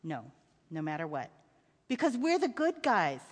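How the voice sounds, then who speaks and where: A woman's voice: lowish voice, wildly swooping pitch, moderate pace, pitched below the performer's own voice. A woman voicing a weary, stern, protective father in a dramatic literary reading, terse and urgent. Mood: quiet, weary. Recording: reverberant hall.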